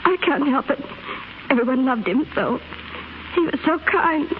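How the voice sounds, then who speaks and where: An actress playing an earnest, emotional young nurse in a 1930s radio drama. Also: female voice, high-pitched, expressive, measured pace, phone-quality sound. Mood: distraught, accusing. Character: distraught, emotional young woman, nurse or grieving daughter. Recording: scratchy, thin 1930s radio broadcast recording.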